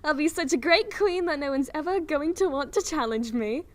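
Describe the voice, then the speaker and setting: A woman voicing a vain, bubbly, naive young dragon princess in an airy, girlish way for an animated fan dub. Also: high voice, normal speed. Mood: smug, airy. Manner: airy, self-satisfied, girlish.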